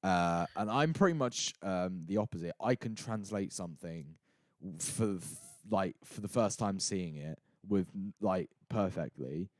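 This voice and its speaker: A teenage boy hosts a casual podcast in a relaxed, easygoing way. His voice is low for his age and sits close to the mic, dry with no echo. He keeps the conversation moving. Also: a little slow. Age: teens. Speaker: podcast host. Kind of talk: casual chat.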